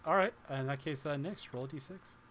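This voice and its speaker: A man's voice: a little high, brisk. A man acting as game master in a live tabletop role-playing session, narrating and calling for dice rolls. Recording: clearly noisy room background.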